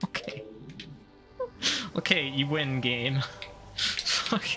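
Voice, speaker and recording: Guy streaming a game, slow rambly nitpicky commentary, swears a lot, game music clearly behind him. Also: medium pitch, bright, forceful tone. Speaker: streamer playing a video game. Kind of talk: casual gameplay commentary. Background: video game music.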